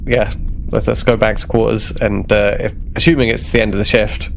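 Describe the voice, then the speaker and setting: A man's voice: fairly low pitch, little pitch movement, brisk, vocal fry, slight background noise, rough recording. A man casually narrating his character's actions as a player in a tabletop role-playing game, improvising conversationally.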